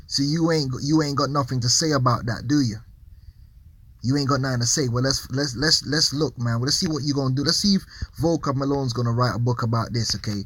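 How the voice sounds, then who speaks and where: A man's voice: mid-range voice, a bit bright, slightly rough, rough recording. A man giving confrontational religious commentary as a Hebrew Israelite preacher, challenging and profane, with many repeated restarts.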